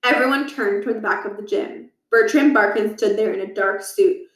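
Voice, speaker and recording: A woman narrating a children's book aloud, with expressive storytelling and deliberate emphasis. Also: mid-range voice. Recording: roomy echo, distant from the mic.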